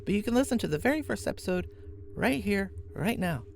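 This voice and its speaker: A woman's voice: medium pitch, expressive, fairly quick, vocal fry, a little mellow, slight background noise. A woman hosting a podcast who recommends a show in a chatty tone with wry asides. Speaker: podcast host. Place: workplace, on lunch break.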